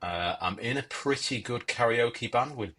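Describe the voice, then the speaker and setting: A man's voice: mid-range voice, normal speed, bright, forceful tone. A man in his forties with an English accent, co-hosting a casual podcast with dry, wry humor. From England. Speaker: podcast co-host. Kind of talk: casual chat.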